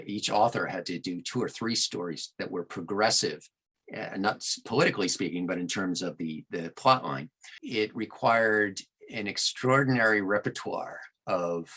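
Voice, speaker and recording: A man speaking as an interview guest about his work, in thoughtful, articulate and measured phrases. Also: low voice, sharp tone, rough recording. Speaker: guest audiobook narrator and producer.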